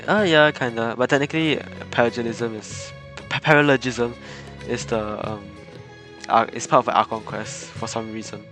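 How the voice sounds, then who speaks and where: A man's voice: mid-range voice, steady tone, moderate pace, over music. A young man chatting casually and laughing often while streaming a game. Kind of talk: casual live game commentary.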